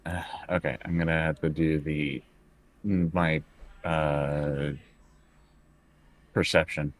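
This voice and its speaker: A man's voice: a bass voice, little pitch movement, slow. A man talking casually as a player in a tabletop roleplaying game.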